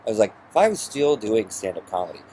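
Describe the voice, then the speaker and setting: A man with a husky voice ranting through a personal story in an exasperated, sarcastic, profane way. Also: on the low side, sing-song, quick pace, warm tone, a little hiss or hum, poor audio. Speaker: vlogger.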